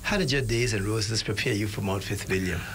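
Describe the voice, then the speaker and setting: A man's voice: lowish voice, fairly quick, a bit bright, slight background noise. A man hosting a studio talk show, asking questions and affirming his guest with short replies. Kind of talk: friendly interview questioning.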